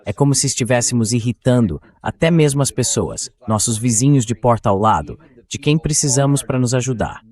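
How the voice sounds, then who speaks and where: A man's voice: medium pitch, fairly quick, hardly any pauses, a little mellow. A man as host, reading a translated voice-over of a political interview in conversational, argumentative sentences.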